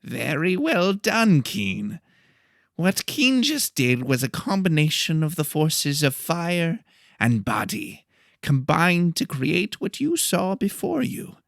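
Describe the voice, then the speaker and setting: A man acts out a formal academy professor addressing his class in an audiobook, with deliberate, unhurried delivery and an animated, rising and falling pitch. The voice sits a little high and has a creaky edge. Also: dry recording, studio quality, exaggerated voice. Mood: formal, instructive. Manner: deliberate, explanatory. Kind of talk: audiobook character dialogue.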